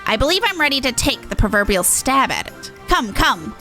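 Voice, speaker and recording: A woman's voice: sits high, very animated, moderate pace, pushed and bright, soft background music, exaggerated voice. A woman voicing a male monster clown from a video game, with theatrical, old-fashioned courtly phrasing. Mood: musing. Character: male monster clown, gruff-named, theatrical, eager to scare.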